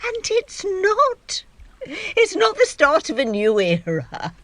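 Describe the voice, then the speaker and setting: A woman's voice: very high voice, wildly swooping pitch, slow, fairly bright tone, no echo, full character voice. An actress in an audio drama playing a middle-aged, imperious and easily wounded mother, theatrical, emphatic and sardonic. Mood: scornful, exasperated.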